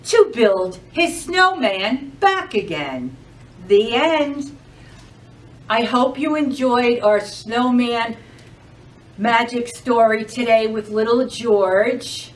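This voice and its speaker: A woman reads a picture book to children in a fairly high voice. Her intonation swings widely and her delivery is unhurried and expressive, as at a library storytime. The microphone sits some way from her. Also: recorded from a distance, rough recording. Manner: expressive, addresses children with questions. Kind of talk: children's storybook read-aloud.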